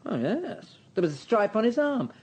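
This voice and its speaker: An actor playing a camp, smug male hotel owner in a radio drama, arch, insolent and languid, with a husky voice. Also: sits high, very animated, normal speed, a little mellow, heavily acted. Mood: smug, evasive.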